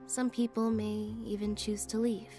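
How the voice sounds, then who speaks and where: An actress voicing a quiet, composed young woman in a game, speaking softly. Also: medium pitch, unhurried, soft background music, natural voice. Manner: calm, soft, reserved delivery.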